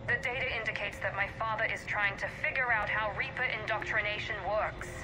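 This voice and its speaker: An actress playing a crisp, urgent female operative in a video game. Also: mid-range voice, fairly even intonation, brisk, noisy background, natural voice. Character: sharp confident female operative.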